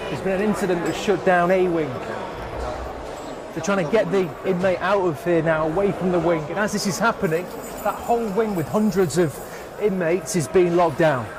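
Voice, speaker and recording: A man reporting from inside a prison in a brisk, explanatory way. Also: sits high, noisy background. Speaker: on-location reporter.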